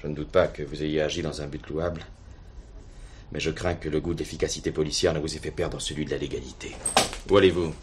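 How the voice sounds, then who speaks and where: An actor playing a stiff, coldly dutiful police superintendent in a French radio play, speaking in formal, measured officialese. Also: male voice, extremely low pitch, steady tone, slight background noise.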